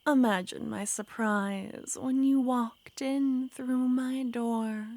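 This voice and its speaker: Brief: a woman as a sweetly menacing young witch, breathy close whispers, very slow delivery. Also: fairly high pitch, steady tone, a little mellow, dry recording. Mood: soothing whisper. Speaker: ASMR roleplay performer. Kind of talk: ASMR roleplay.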